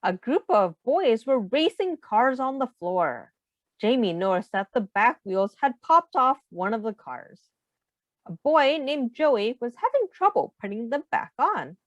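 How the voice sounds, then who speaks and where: A woman reading a children's storybook aloud in an expressive, simple teacher's manner, pausing to put questions to young listeners. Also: fairly high pitch, no echo, lo-fi sound.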